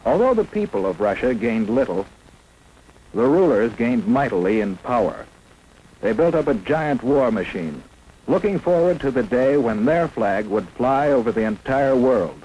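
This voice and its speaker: A man's voice: mid-range voice, big pitch swings, normal speed, warm tone, breathy edge. An American man reads scripted mid-century propaganda documentary narration in a solemn, emphatic, declamatory manner. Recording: noisy old film soundtrack.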